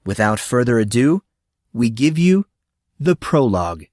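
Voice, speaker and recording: A man reading scripted fiction as a podcast narrator, with a measured storytelling delivery. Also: medium pitch, very animated, a little mellow, a little hiss or hum. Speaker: audiobook narrator and podcast host.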